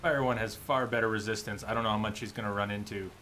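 A man's voice: fairly low pitch, fairly even intonation, fast talker, pushed and bright, slight background noise. A man in his thirties livestreaming a game indoors with casual commentary, musing aloud as he chats to viewers. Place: indoor streaming setup. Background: game music and ambience.

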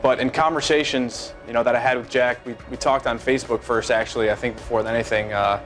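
Twenties male ex-ballplayer, loose unscripted answers full of "um" and restarts, office room with soft music bed. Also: medium pitch, steady tone. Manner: halting, many fillers and restarts. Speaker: former pro baseball player. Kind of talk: seated interview, casual recollection. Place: high-rise office by a big window.

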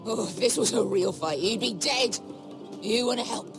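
A woman's voice: on the high side, animated, moderate pace, music in the background. Belligerent, drunk mercenary woman. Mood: bitter. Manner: aggressive, taunting, swearing. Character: drunk, belligerent, foul-mouthed female mercenary fighter. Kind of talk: dramatic video game acting.

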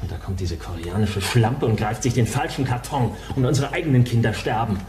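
An actor playing a vain, murderous doctor in a crime radio drama, commanding and contemptuous. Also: male voice, medium pitch, brisk, close to an everyday voice. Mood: smug, contemptuous. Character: vain, cold-blooded male doctor, murderous villain.

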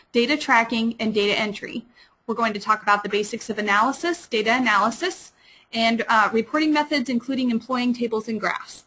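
Midwestern woman presenter, quick and chatty with lots of fillers, voice sits a bit high. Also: pushed and bright. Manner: fast-talking, many fillers and restarts. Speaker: librarian presenting a webinar. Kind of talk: webinar lecture.

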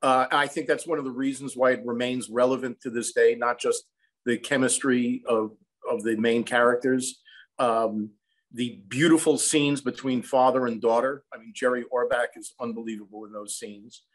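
An American man in his sixties, an interview guest telling anecdotes in a reflective, measured, conversational way. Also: medium pitch, fairly even intonation. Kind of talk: conversational storytelling. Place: room at home, indoors. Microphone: video call.

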